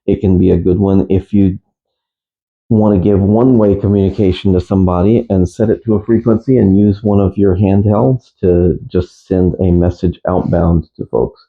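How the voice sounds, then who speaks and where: A man giving a casual, unscripted gear review as a radio hobbyist, speaking in a halting, matter-of-fact way. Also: low-pitched, steady tone, vocal fry, phone-quality sound. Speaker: amateur radio hobbyist presenter.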